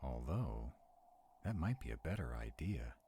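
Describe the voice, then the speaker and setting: A man playing a sarcastic, protective vampire in a roleplay audio drama, wary and quick-witted, talking to unseen companions. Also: rumbling low, big pitch swings, mellow, breathy edge, exaggerated voice. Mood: urgent, protective.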